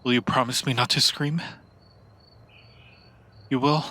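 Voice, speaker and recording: A man playing a centuries-old, yearning and possessive vampire in an improvised ASMR roleplay, speaking to the listener in an intimate, theatrical way with lingering dramatic pauses. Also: mid-range voice, fairly even intonation, bright, forceful tone. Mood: hushed, urgent.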